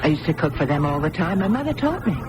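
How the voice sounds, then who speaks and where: A woman playing a gentle, wistful young woman in a 1980s radio drama, on a noisy old broadcast recording. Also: sits low, sing-song, fast talker, dull audio.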